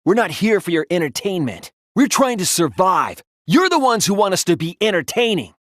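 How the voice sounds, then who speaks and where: A man voicing an earnest, timid yet resolute teenage boy detective in an English anime game dub, polite and soft-spoken. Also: high-pitched, very animated, normal speed, no room sound, studio quality. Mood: firm, defiant. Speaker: video game voice actor.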